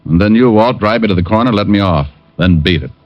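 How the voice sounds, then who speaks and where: A man's voice: lowish voice, fast, lightly acted. A man playing a cool wisecracking private eye. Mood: wry. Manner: dry wisecracking, confident. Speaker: private detective and narrator. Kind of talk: 1950s radio drama narration. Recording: dull old radio broadcast. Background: music.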